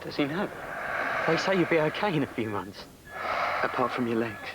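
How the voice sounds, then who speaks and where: A man playing a guilty young drink-driver, halting and apologetic as he pleads with a friend. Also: mid-range voice, very animated, natural voice. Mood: guilty pleading. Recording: noisy old VHS drama audio.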